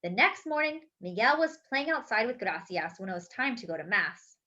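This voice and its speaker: Storybook reader, female. High-pitched, lively and expressive, acts out dialogue. Lo-fi sound. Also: moderate pace. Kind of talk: expressive picture-book read-aloud with chatty asides. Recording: dull lo-fi recording.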